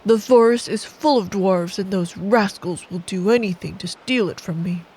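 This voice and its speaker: A woman voicing a gentle, polite giant talking bear from a bedtime story, in a slow, earnest way. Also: mid-range voice, husky, poor audio. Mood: grateful, earnest.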